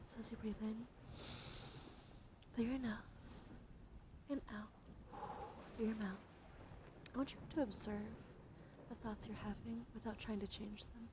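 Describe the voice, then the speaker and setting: A woman live streamer speaking in a soft, soothing, unhurried ASMR style, often slipping into a whisper, with a slightly strained throat, close to the microphone. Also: on the high side, noisy background. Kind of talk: soft ASMR, guided relaxation and chat.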